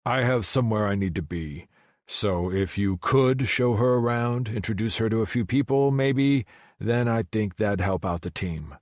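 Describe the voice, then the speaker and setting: A man's voice: on the low side, normal speed, darkish tone, dry recording, studio quality, voice pushed up, natural voice. A man voicing a domineering billionaire boss in a romance audiobook, clipped and commanding. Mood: forced, curt.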